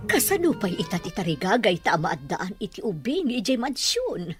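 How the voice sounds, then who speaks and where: A woman's voice: medium pitch, big pitch swings, moderate pace, music in the background, natural voice. An actress playing an anxious, pleading elderly mother who longs for a grandchild in a Filipino radio drama.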